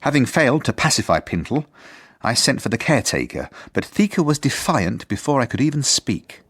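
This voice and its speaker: Stiffly formal school head: slightly raspy, prickly, precise, expressive delivery for radio comedy. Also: male voice, medium pitch, a little fast. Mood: formal, dry. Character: earnest, exasperated male headmaster, stiffly formal. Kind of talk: first-person narration and radio drama acting.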